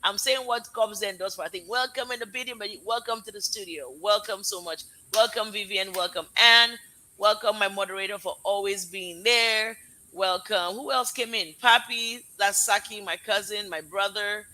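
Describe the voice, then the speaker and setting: A woman with a Nigerian accent blended with American tones hosts a casual live stream. Her voice is intensely bright and sharp. She pours out effusive, heartfelt thanks and rambles warmly from one guest to the next. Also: medium pitch, normal speed. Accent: Nigerian with American mix. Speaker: live show host, parent.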